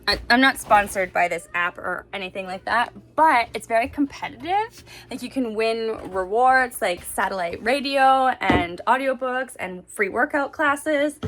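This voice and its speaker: A woman's voice: on the high side, a bit bright. Chatty young woman vlogger, noisy recording. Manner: informal, rambling, frequent "like" and "you know". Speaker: vlogger and mother of young children. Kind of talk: casual chatty vlog talk.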